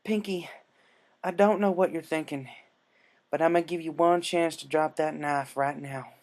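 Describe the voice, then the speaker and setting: A man voicing a brave, firm young female cartoon pony, a loyal rescuer, forceful and urgent in a story reading. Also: a little high, fairly even intonation, normal speed, pitched above the performer's own voice, close to an everyday voice. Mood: stern warning. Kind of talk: fan fiction story reading.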